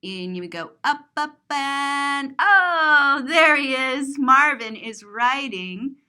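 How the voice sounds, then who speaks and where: Slow, very animated high-voiced woman storyteller. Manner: playful, expressive, exclaiming and explaining simply. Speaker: children's storybook reader, teacher. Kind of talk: animated read-aloud to children, describing pictures.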